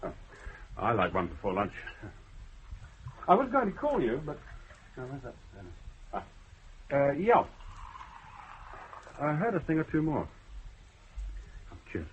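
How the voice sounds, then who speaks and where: An actor playing a blustery, easily offended bookie with a husky voice. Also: male voice, fairly high pitch, wildly swooping pitch, a little slow, long pauses, slight background noise. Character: touchy middle-aged male bookie. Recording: dull old radio broadcast.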